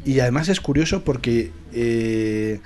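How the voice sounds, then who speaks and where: Man, accent from Spain, mid-range pitch, casual film panelist, emphatic, opinionated. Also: measured pace, warm tone.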